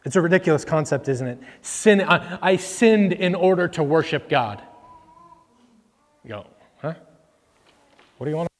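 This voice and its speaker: A man's voice: a little high, long reverb tail. A man preaching a conversational sermon into a microphone, with many fillers, acting out the people in the scripture he reads. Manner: conversational, filler-heavy, voices characters when reading. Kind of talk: casual sermon with scripture reading. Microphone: microphone that cuts out.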